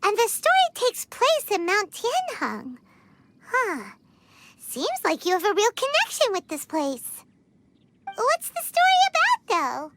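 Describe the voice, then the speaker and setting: An actress voicing a tiny excitable female companion creature who talks about herself in the third person, in a video game. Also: a little slow, heavily acted. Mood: curious. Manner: speaks of herself in third person, squeaky and emotional.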